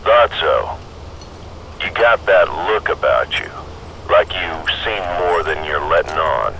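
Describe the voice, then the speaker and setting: Man voicing a deep, gruff old spaceship engineer. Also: animated, slow, bright, forceful tone, a little hiss or hum, full character voice, rough recording. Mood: low, suspicious. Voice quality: gruff, gravelly. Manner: folksy, clipped, probing. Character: gruff older male starship engineer with robotic arm.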